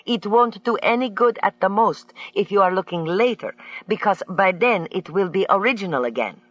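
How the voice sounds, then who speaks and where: A woman's voice: a little high, lively intonation, unhurried, fairly bright tone, no room sound, pitched above the performer's own voice. A fussy, gushing society woman with oddly tangled phrasing, put on by a male narrator. The voice sits well above his own and takes on a strange, altered tone. It is recorded dry and close. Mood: insistent. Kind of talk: audiobook character voice.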